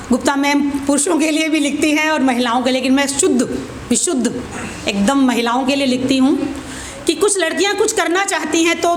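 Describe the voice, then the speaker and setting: A woman performing as a poet at an open mic, reciting verse with deliberate repeated lines and informal introductions. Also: high voice. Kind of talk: spoken Hindi-Urdu poetry recital with informal introductions. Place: open mic event room. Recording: echoey room with clear background noise.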